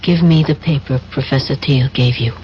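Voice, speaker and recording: A woman's voice: rumbling low, moderate pace. An actress playing a cool female double agent in a radio drama, controlled and persuasive, on a dull, noisy old broadcast. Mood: guarded. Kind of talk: 1970s radio drama acting.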